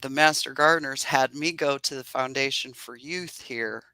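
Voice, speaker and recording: A woman's voice: extremely low pitch, moderate pace, pushed and bright, no room sound. A woman beekeeper with a soothing voice, explaining patiently and laughing often in a casual interview. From Indiana. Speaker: beekeeper guest.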